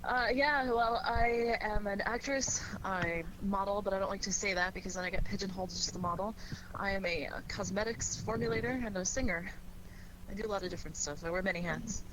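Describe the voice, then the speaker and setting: A woman with a medium-pitched voice introduces herself informally as a performer. She is chatty and casual. The recording has clear noise and clicking. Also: fairly quick. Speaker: actress and singer.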